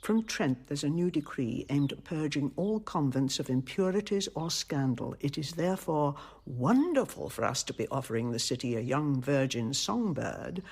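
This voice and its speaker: Worldly convent abbess, radio drama: creaky, measured, theatrical, very expressive pitch. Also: female voice, heavily acted. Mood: shrewd, wry. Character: shrewd, worldly, politic abbess of a Renaissance convent.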